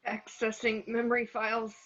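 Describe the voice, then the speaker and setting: Woman acting Nebula, husky muffled cyborg captive. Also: fairly high pitch, monotone, moderate pace, a bit bright. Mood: grim, urgent. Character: Nebula, captive female cyborg in recorded memory. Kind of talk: amateur table read of a film script. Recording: dull, muffled lo-fi microphone.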